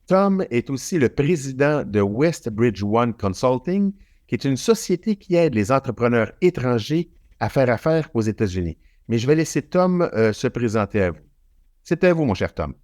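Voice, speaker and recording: A man's voice: medium pitch, sing-song, moderate pace, a little mellow, no echo, studio quality. A man hosting a webinar, politely introducing a guest and asking questions. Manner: polite, formal. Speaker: webinar host.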